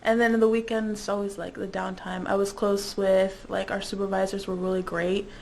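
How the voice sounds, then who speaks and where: A woman's voice: mid-range voice, little pitch movement, normal speed, gravelly, darkish tone. A woman in her twenties, born in the Dominican Republic and raised in Indiana, talking casually about her internship as an interview guest. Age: 20s.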